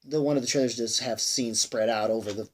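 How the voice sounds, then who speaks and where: Male movie reviewer, mid-pitched, casual and unscripted, halting but emphatic, somewhat tired, slightly noisy recording. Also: fairly even intonation. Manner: rambling, halting, repeats phrases for emphasis; sweary, emphatic. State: tired, sighing.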